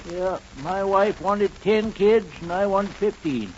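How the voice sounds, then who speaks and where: A man's voice: sits high, slow. An actor playing a rambling, folksy older married man in a 1940s radio comedy. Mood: rueful, deadpan. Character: rambling, long-married older neighbor man, drily comic. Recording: muffled, hissy 1940s radio recording.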